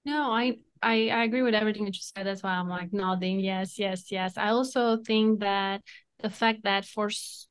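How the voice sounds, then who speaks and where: A woman's voice: mid-range voice, fairly even intonation, normal speed, clean tone. A woman with a Romanian accent, a podcast guest, giving advice thoughtfully and laughing at herself now and then. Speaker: guest, entrepreneur and coauthor. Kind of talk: casual podcast conversation.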